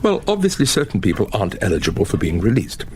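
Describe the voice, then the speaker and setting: An actor with an upper-class English accent playing a pompous, oblivious older museum director in a radio sitcom, with theatrical, old-fashioned politeness. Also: male voice, fairly low pitch, wildly swooping pitch, fast, noisy background. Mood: evasive.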